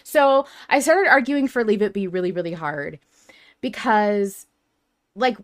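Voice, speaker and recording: A woman's voice: medium pitch, animated, measured pace. A woman hosting a casual fan discussion podcast, talking in a chatty, opinionated way. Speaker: podcast host.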